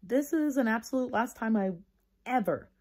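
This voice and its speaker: A woman voicing a stern, weary male first-grade teacher who scolds firmly and deliberately in a children's storybook. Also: a little high, lively intonation, darkish tone, voice pushed up. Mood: scolding.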